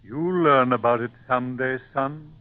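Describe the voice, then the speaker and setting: A man's voice: medium pitch, normal speed, no room sound, lightly acted. An actor playing a stern, older father who solemnly admonishes his son in a dream, in old-time radio drama style. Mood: solemn, admonishing. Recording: tinny old radio recording with faint music.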